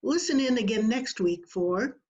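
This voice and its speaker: A woman's voice: fairly high pitch, lively intonation, unhurried, noisy background. A woman reading scripted closing announcements in the style of an old-time radio theater, on a lo-fi remote recording. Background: prominent music.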